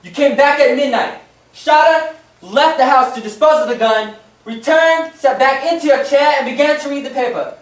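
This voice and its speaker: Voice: high voice, recorded from a distance, close to an everyday voice. Echoey, stern radio-play investigator played by an actor. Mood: accusing. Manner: deliberate, accusing, theatrical. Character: stern accusing investigator confronting a murderer. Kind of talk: old-time radio play acting.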